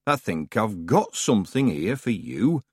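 A man voicing a chatty, nosy old woman running a village post office, slow and fussy. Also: lowish voice, big pitch swings, husky, no echo, studio quality, exaggerated voice.

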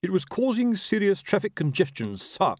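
A nervous storybook officer, acted by a man, stiffly to attention and far too loud. His deferential replies come out clipped, barked and pitched high. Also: very animated, moderate pace, no room sound, clean studio sound. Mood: nervous, barking. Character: nervous, over-loud, eager male officer standing to attention.

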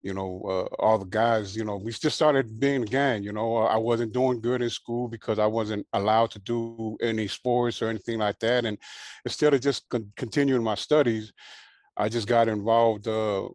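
A man in his sixties from Hawaii, raised in Southern California, telling his life story as a guest in a relaxed way, full of fillers. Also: on the low side, no room sound, studio quality. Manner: relaxed, unhurried, many fillers and "you know". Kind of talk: casual interview storytelling.

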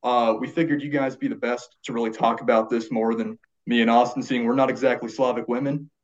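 A man co-hosting a podcast in casual chat, relaxed and rambling, with many fillers and restarts. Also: mid-range voice, brisk. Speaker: podcast co-host. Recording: dull lo-fi sound.

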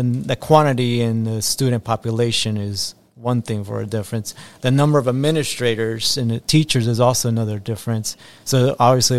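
A man's voice: medium pitch, creaky. A man from Ecuador, an assistant principal in his thirties, answering school podcast questions earnestly with frequent ums and repeated phrases.

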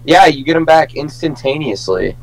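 A man's voice: fairly high pitch, expressive, moderate pace, poor audio. A young man co-hosting a podcast, chatting casually and telling stories among friends. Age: young adult. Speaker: podcast co-host.

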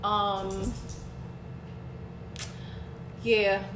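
A woman's voice: medium pitch, level tone, extremely slow, noisy background. Chatty woman in her thirties, echoey room. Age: 30s. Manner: chatty, repeats herself, says "like" a lot. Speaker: podcast co-host. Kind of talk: casual podcast chat.

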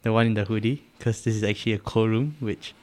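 A man's voice: fairly low pitch, fairly even intonation, quick pace, a little mellow. A man in his early twenties with a Singaporean accent, co-hosting a casual podcast. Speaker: podcast co-host.

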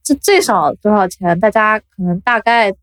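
A woman podcast host chatting casually in a blunt, expressive, animated way. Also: mid-range voice, normal speed. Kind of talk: casual chat.

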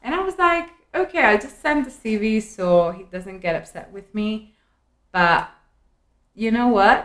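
A woman's voice: mid-range voice, moderate pace. A woman, co-hosting a casual podcast and telling stories with light self-mockery. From Spain. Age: 20s. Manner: self-deprecating storyteller, relaxed. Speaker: podcast co-host. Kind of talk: casual chat. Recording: roomy echo.

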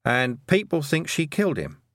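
A shrewd witch woman from a fantasy audiobook, played by a male narrator. She is brisk and dry-witted, firing off knowing questions and remarks with a lively lilt. The recording is close and dry. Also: a little high, no echo, pitched above the performer's own voice. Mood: sardonic. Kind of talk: audiobook character dialogue.

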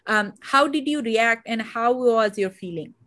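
Interview host, female, Indian accent, fairly high pitch, halting and full of restarts. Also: fairly bright tone. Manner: hesitant, many fillers and restarts. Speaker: podcast host. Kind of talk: conversational interview.

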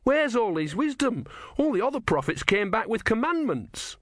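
A man's voice: very high voice, very animated, moderate pace, no room sound, studio quality, voice pushed up. A man voicing an anxious, earnest young male novice. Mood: troubled. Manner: anxious, plaintive.

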